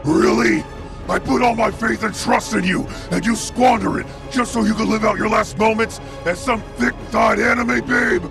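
An actor playing the exasperated, griping boss of a gaming crew in an animated comedy. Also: male voice, sits high, moderate pace, over music.

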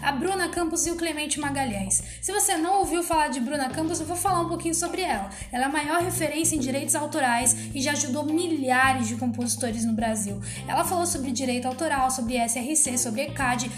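Woman host promo, high voice, echoey room, music clearly underneath. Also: a little fast, few pauses. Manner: direct address to listeners. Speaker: podcast host. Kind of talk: promo announcement.

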